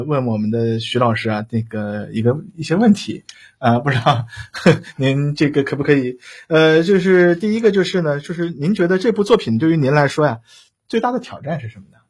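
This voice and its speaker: A man with a slightly husky voice of medium pitch hosts a live interview. He chats casually and gropes for his phrasing, often stopping and starting again. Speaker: host of a live radio-drama talk show.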